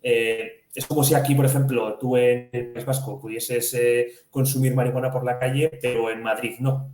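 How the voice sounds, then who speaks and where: A man from chats as a financial podcast co-host. His voice sits at a medium pitch, and he explains by asking questions and answering them himself. The sound has a strong room echo. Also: little pitch movement, moderate pace, darkish tone. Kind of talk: conversational financial news discussion. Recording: echoey room.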